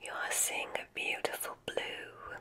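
An ASMR performer playing a kindly witch examiner in a whispered roleplay, speaking in a soothing, gentle, deliberate way with soft approval.

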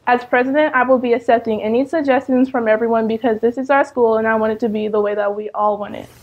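A somewhat high voice from an American girl in her teens. She makes a prepared student election speech, recorded with slightly dull sound. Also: steady tone, quick pace. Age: teenager, about 14. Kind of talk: prepared campaign speech to camera.

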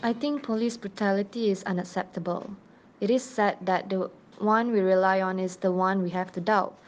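A woman states her opinion in a mid-pitched voice. The room echoes and music plays clearly behind. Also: fairly even intonation, moderate pace. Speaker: interviewee.

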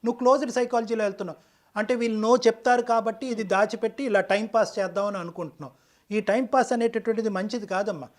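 Fast, high-pitched man giving counsel. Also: steady tone. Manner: firm, didactic, mixes English phrases into speech. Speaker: counselor on a relationship advice show. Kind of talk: advice-giving counseling talk.

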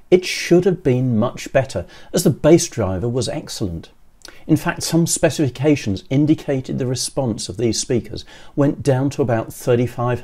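An older man in his sixties giving scripted how-to narration in a measured, methodical way, as a presenter. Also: mid-range voice, mellow. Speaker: presenter of an electronics how-to video.

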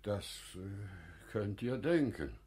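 A wise older merchant in a classical radio play. His low voice moves slowly, with wide swings of intonation, courteous and careful. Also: expressive, darkish tone, slight background noise. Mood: startled, guarded. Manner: hesitant, deliberate, courteous. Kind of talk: classical German radio drama acting.

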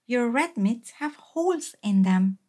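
A woman's voice: fairly high pitch, lively intonation, a little slow, dark tone. A woman voicing a practical, mildly insistent mother reasoning with her small child in a storybook. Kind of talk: storybook read-aloud.

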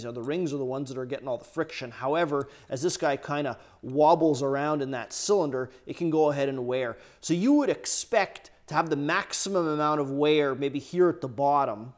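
Male workshop host with a slightly high voice, relaxed step-by-step teaching at the bench. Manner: casual, explanatory, talks while handling parts. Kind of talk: hands-on how-to explanation. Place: indoor workshop bench, overhead camera.

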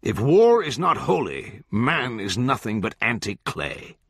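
Man voicing a grandiloquent, slow, menacing judge. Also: mid-range voice, wildly swooping pitch, fairly bright tone, husky, dry recording, studio quality, pitched above the performer's own voice, heavily acted. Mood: grave preaching. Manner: grandiloquent, deliberate, archaic phrasing. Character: eloquent, menacing, smiling older judge, grandiose and coaxing. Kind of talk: literary audiobook character voice.

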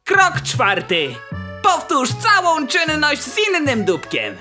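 A woman voicing a small, self-important, needy cartoon demon in a theatrical, expressive way for a Polish fan dub. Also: high voice, slow, soft background music, heavily acted. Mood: mischievous.

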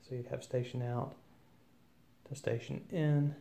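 Flat-toned man giving a gear demo. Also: medium pitch, a little slow, gravelly. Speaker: presenter of an equipment demo. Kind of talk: informal instructional product walkthrough. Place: indoors at a wooden table at home.

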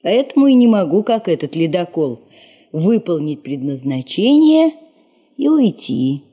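An actress playing a weary, bitter middle-aged secretary in a 1970s Soviet radio drama, with an expressive, rueful manner. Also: female voice, fairly low pitch, a little slow, breathy edge, dull audio, heavily acted. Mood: bitter, grieving. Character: weary, bitter older secretary, a bereaved mother in the north.

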